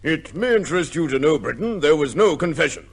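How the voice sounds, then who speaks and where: A gravelly major. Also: male voice, on the high side, animated, a little fast, full character voice. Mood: reproachful. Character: earnest British Home Office major, reproachful gentleman. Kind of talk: old-time radio drama acting.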